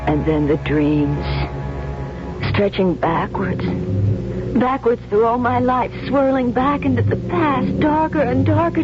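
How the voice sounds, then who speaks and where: An actress playing a haunted young woman recalling childhood fears in a hushed, halting 1970s radio monologue. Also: a little high. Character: haunted young woman recounting dark childhood memories, fearful. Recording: muffled radio broadcast with music behind.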